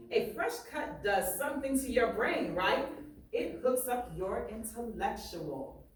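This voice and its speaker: A woman teacher reading aloud to a class, speaking emphatically and often checking in with her listeners. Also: fairly high pitch. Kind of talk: expressive classroom read-aloud with questions to pupils. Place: echoey classroom, mic at a distance. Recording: roomy echo, distant mic, faint noise.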